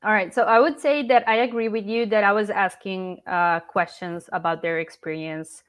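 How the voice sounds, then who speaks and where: A woman's voice: medium pitch, fairly even intonation, normal speed, smooth. A woman whose first language is Serbian, a startup founder speaking English and frankly reviewing her own sales demo. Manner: self-critical, with fillers and restarts.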